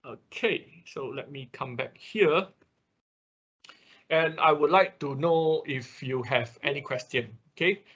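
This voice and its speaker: Male instructor, bright forceful tone, husky, informal step-by-step technical tutorial, repetitive check-ins, slightly dull online audio. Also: fairly high pitch, slow. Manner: repeats words, checks in with "right?" and "okay?". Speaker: instructor of an online robotics programming class. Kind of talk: informal live technical tutorial, explaining code. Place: live online classroom call.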